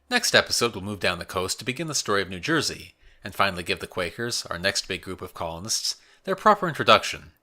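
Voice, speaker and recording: Deep-voiced man narrating history. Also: pushed and bright. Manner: measured, explanatory. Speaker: history podcast host.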